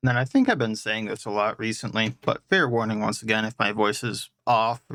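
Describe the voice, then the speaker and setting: A man's voice: lowish voice, brisk, fairly bright tone, no room sound, studio quality. A man with a stuffed-up nose and a sore throat, chatting casually as he plays and explains his party's stats at length. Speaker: let's play host. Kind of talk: casual gameplay commentary.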